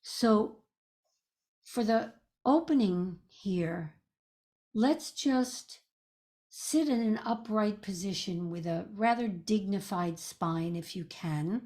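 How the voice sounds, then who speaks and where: A woman leading a guided meditation as a teacher, speaking in a gentle, soothing, measured way with pauses. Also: medium pitch.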